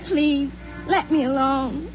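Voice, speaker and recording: An actress playing a shaken, distraught young nursemaid in a 1950s radio drama. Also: female voice, high voice, takes it slowly, soft background music. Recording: muffled old radio recording, faint noise.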